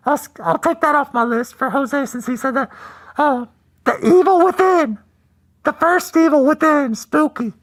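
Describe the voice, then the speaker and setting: High-pitched woman straining a silly voice. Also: normal speed. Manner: putting on a strained silly costume voice, shouty and laughing. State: losing her voice. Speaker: podcast co-host. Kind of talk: casual livestream chat.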